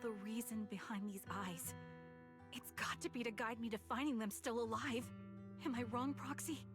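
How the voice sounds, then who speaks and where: An actress playing a haunted, stubbornly hopeful soldier in a video game, speaking in a somber, earnest way. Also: female voice, a little high, fairly even intonation, moderate pace, music in the background, lightly acted. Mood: desperate hope. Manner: somber, earnest, breathy at moments. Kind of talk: dramatic video game monologue.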